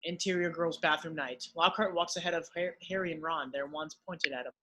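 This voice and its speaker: A man's voice: on the high side, steady tone, a little fast, sharp tone. A man narrating, reading the stage directions of a screenplay in an amateur read-through.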